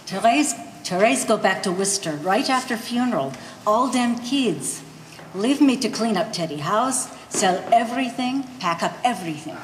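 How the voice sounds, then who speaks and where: Woman playing animated old immigrant mother, echoey hall. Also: fairly high pitch, normal speed, natural voice. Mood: complaining. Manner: broken English with dropped verbs and articles. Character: blunt eighty-year-old Polish immigrant mother, short and round. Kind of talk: amateur staged play reading. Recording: echoey hall, faint background noise.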